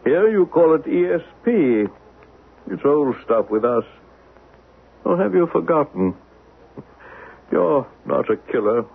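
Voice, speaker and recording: An actor in an old-time radio drama playing a taunting alien schemer posing as a human, mocking and defiant. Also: male voice, on the high side, sing-song, slow, creaky, long pauses, breathy edge, phone-quality sound, full character voice. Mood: defiant, sardonic. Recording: thin band-limited radio broadcast recording.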